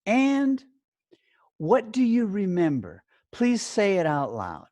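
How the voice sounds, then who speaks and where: Man teaching, very animated pitch, unhurried pace. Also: no room sound. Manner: deliberate, short phrases with frequent check questions. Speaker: teacher trainer giving a lesson. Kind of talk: instructional talk to learner teachers.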